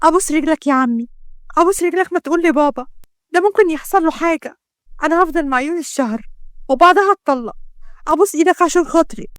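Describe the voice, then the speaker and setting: A woman's voice: very high-pitched, animated, fast talker, no room sound, studio quality, voice pushed up. A woman voicing a tearful, wronged young woman who pleads emotionally in Egyptian Arabic. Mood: pleading.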